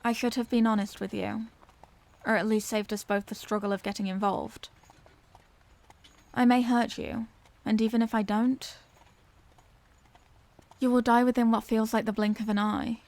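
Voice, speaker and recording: A woman's voice: on the high side, fairly even intonation, normal speed, long pauses, a little mellow, lightly acted. A woman voicing an anguished, tender Victorian lady vampire in an intimate audio roleplay, speaking in formal, earnest phrases. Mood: sorrowful, tender. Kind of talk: scripted audio roleplay, intimate dramatic monologue.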